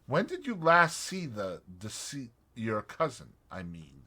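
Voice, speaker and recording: A man voicing an eager, excitable young woman adventurer in an audiobook, breathless and quick to exclaim. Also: mid-range voice, breathy edge, pitched above the performer's own voice, exaggerated voice. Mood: prim. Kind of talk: audiobook character voice.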